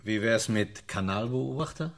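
An actor in a radio drama playing a glib, self-assured British embassy official, briefing fluently. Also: male voice, on the low side, unhurried, creaky. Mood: brisk, confident.

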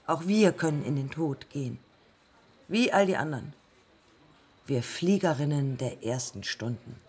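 An actress playing a defiant, haunted early woman pilot in a German radio play, speaking in terse, deliberate fragments. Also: low voice, lively intonation, a little mellow, slightly rough, soft background music, exaggerated voice. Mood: matter-of-fact, resolute. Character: pioneer woman aviator, haunted, defiant, morphine-addicted.

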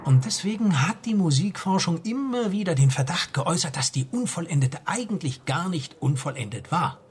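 Actor as nervous young student guy, halting and earnest, radio crime play, faint noise behind. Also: a little high, expressive, natural voice. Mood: eager explaining. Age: young adult. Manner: hesitant, halting explanations. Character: nervous, eager young male musicology student. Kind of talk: naturalistic German radio crime drama.

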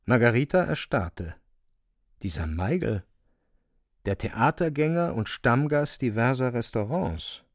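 A man's voice: medium pitch, big pitch swings, measured pace, no echo, studio quality. A man narrating a literary radio play in a scripted storytelling style.

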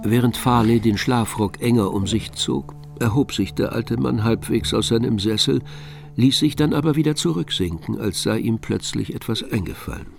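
A man's voice: medium pitch, normal speed, vocal fry, faint music behind. A man reading scripted narration for a radio crime drama as its storyteller.

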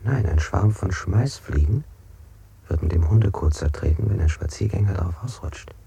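An actor playing a weary, evasive prisoner under interrogation in an avant-garde radio play, speaking in a halting, questioning way. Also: male voice, deep voice, expressive, creaky, dark tone, husky, exaggerated voice. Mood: rambling, searching.

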